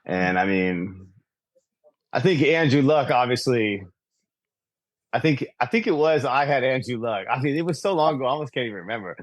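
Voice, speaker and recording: Guy guest on a sports pod, casual, pitch jumps around a lot, dry sound. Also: lively intonation, moderate pace, no echo.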